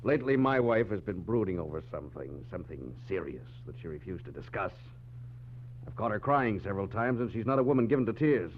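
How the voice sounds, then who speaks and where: An actor playing a grim, brusque and anxious husband in a 1940s radio drama. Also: male voice, fairly low pitch, very animated, brisk. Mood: urgent, grave. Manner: brusque, urgent. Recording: dull, faintly noisy old radio recording.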